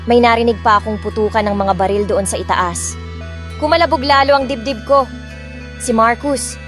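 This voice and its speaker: A young woman narrates a romance audiobook in first person, expressive and moving easily between two languages. Her voice sits a little high, with soft music beneath.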